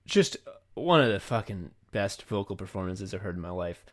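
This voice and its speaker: Lower-voiced man in casual podcast chat. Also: animated, normal speed, fairly bright tone. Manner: informal, sweary, effusive. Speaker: podcast host.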